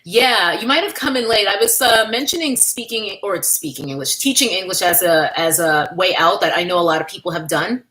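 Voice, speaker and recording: A woman's voice: medium pitch, lively intonation, brisk, few pauses, fairly bright tone, slight background noise, rough recording. A woman from New York, hosting a casual livestream, chatting candidly and thanking viewers by name as she reads their comments. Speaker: livestream host.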